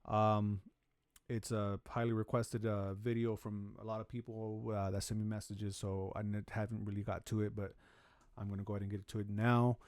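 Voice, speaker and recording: A man hosting a music production tutorial from a home studio, talking through his steps in a relaxed, rambling way with lots of fillers and restarts. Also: moderate pace, dry recording. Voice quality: deep voice. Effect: auto-tune pitch correction on some lines. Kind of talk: casual screen-recorded tutorial. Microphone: studio vocal microphone.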